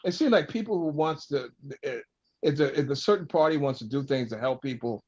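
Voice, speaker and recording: Slightly high-pitched insistent man, dull audio. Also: expressive, a little fast, darkish tone. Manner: impassioned, insistent, repeats himself. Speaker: discussion panelist. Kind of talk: heated political discussion.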